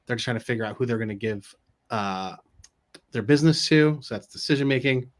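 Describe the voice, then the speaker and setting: A man's voice: mid-range voice, fairly quick. A middle-aged man co-hosting a business live stream, giving casual advice and often checking in with rhetorical questions. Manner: informal, peppered with rhetorical check-ins. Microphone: video call.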